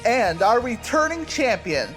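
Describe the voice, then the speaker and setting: A woman playing a game-show announcer who introduces contestants with drawn-out, showy flair for a radio parody. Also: on the high side, sing-song, a bit bright, soft background music.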